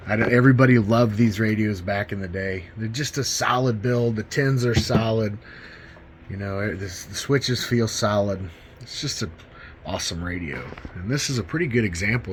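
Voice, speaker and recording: A man's voice: lowish voice, normal speed, fairly bright tone, slight background noise. A middle-aged man casually demonstrating gear as a hobbyist video host, in a folksy, enthusiastic and informal manner.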